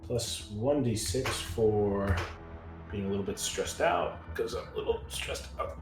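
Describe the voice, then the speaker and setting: Male game player, lowish voice, blunt and casual, roomy echo and clear music underneath. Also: expressive, measured pace. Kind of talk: casual game-table chat.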